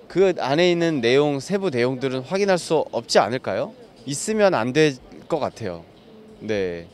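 A man's voice: on the high side, clean tone. A young man in a street interview on a city sidewalk, explaining at length and hedging his points. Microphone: handheld mic held by interviewer.

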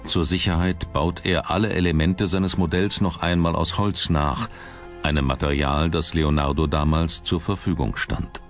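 A man's voice: very deep voice, steady tone, moderate pace. A man reading scripted narration for a documentary as its narrator. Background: background music.

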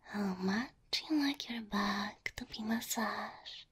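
A woman performing an ASMR roleplay as a gentle, doting cow-girl girlfriend, speaking softly and tenderly into a close microphone. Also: mid-range voice. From Slavic Eastern Europe. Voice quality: soft, breathy. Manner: tender, slow, coaxing.

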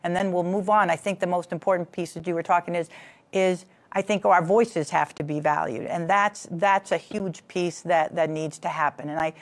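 Deep-voiced older woman, measured. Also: fairly even intonation. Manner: measured, deliberate, filler-laden. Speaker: city health commissioner panelist. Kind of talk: panel discussion. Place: studio stage with curtain backdrop.

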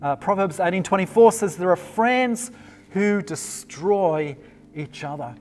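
A middle-aged Australian pastor preaches in an easygoing, chatty way, often pausing on ums and uhs. His voice sits a little high for a man. Faint music plays softly underneath. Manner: conversational, relaxed preaching with frequent fillers. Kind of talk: recorded online sermon. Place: small indoor set with warm lights. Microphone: lapel mic.

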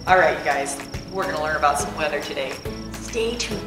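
A woman's voice: low-pitched, animated, a little fast. A young woman hosting a children's library story time, bouncy and sing-song. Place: indoor library, bookshelf wall. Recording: echoey room with music.

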